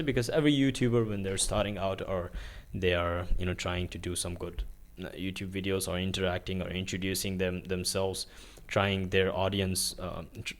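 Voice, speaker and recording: Rambling young man vlogging from his bedroom. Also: sits low. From Pakistan. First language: Urdu is his country's language, but not his first language. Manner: rambling, with many uh fillers and restarts. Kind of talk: casual day-in-the-life vlog. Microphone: phone on a tripod.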